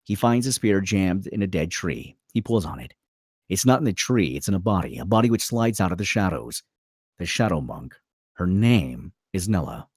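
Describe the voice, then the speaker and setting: A man's voice: low voice, very animated, moderate pace, darkish tone, breathy edge, no room sound, clean studio sound. A man narrating a screenplay's stage directions at a table read, theatrical and punchy in short clipped phrases.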